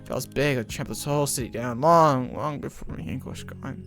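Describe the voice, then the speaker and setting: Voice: mid-range voice, a little fast, fairly bright tone, faint music behind, close to an everyday voice. An actor playing a jaded, sardonic adult in a gritty detective story, speaking in a blunt, sarcastic, conversational way. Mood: angry, bitter.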